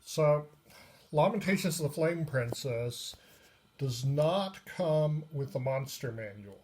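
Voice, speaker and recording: A man giving a casual, unscripted review of a tabletop game book, pausing often mid-sentence. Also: fairly high pitch, expressive, darkish tone. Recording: noisy recording with clicks.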